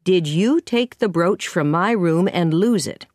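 A woman voicing a stern but caring female guardian in a storybook, speaking in a firm, measured manner. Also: lowish voice, lively intonation, darkish tone, no room sound, clean studio sound. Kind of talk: storybook character voice.

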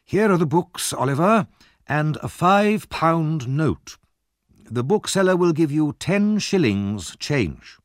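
A kindly, steadfast older gentleman from a storybook, played by a male reader. He sounds earnest and measured, slightly raised in pitch, with lively movement. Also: no echo, studio quality. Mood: firm. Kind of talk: audiobook character reading.

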